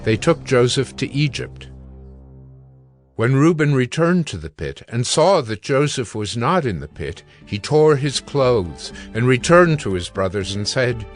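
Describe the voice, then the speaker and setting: A man narrating scripture in a measured, solemn storytelling style. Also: mid-range voice, big pitch swings, soft background music. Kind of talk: scripted dramatic Bible narration.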